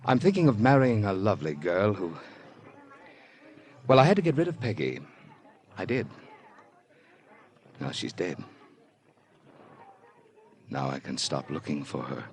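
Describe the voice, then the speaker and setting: A guarded store manager with a very deep voice. His intonation moves widely while his manner stays stiff and rueful. It is delivered as old-time radio drama. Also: very animated, unhurried, pauses often, full character voice. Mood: rueful, confessing. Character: guarded, rueful male dress shop floor manager. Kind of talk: 1940s-50s American radio drama acting.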